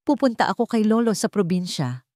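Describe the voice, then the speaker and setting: A woman's voice: medium pitch, expressive, brisk, a little mellow, no echo, clean studio sound, voice pushed up, natural voice. Woman voicing a hurt, defiant nine-year-old child. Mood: calm, final. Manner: grave, blunt, final.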